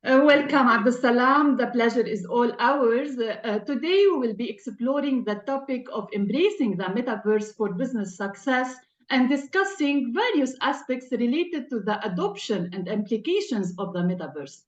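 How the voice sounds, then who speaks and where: Female interview host, formal scripted delivery, slightly high voice, roomy echoey sound. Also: expressive, normal speed, highs cut off.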